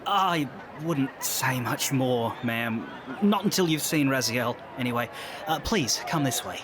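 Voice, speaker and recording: Guy as a kind polite guard, slow talker, noisy ambience behind. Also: medium pitch, expressive, close to an everyday voice. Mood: sympathetic, cautious. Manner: polite, sympathetic, gently cautioning. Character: kindly, reasonable male Malak guard. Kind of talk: fantasy audio drama acting.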